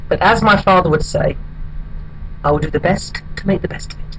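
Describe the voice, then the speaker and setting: High-pitched hapless man acting a deadpan monologue storyteller. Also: a little fast, gravelly, a little hiss or hum, exaggerated voice, low-quality recording. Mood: deadpan, rueful. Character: hapless, wistful single man telling his odd life story. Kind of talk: comic dramatic monologue.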